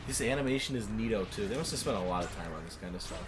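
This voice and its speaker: Fast-talking man with a lowish voice. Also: expressive, a crowd in the background. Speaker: gaming show co-host. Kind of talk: casual chat commentary over video game.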